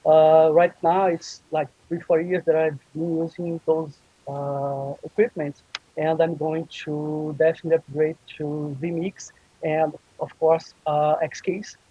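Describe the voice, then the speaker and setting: A man's voice: fairly high pitch, level tone, a little slow, slightly rough, phone-quality sound. A man from Brazil with a Brazilian Portuguese accent, a guest talking casually on a video call with frequent hesitations. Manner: hesitant, many fillers. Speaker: guest, live streaming producer.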